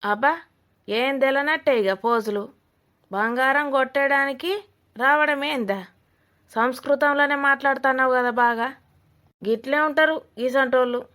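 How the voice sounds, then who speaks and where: A woman's voice: high voice, measured pace, pauses often, fairly bright tone. A woman voicing a suspicious, scolding old village woman in a cartoon comedy, with a Telangana accent, blunt and mocking. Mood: mocking, accusing. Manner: blunt, mocking, rambling accusations.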